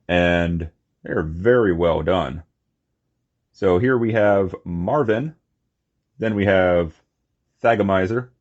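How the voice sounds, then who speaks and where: A man giving a casual, unscripted hands-on toy review, talking in short, halting phrases on a slightly muffled recording. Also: low voice, a little mellow. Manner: halting, short phrases while handling objects.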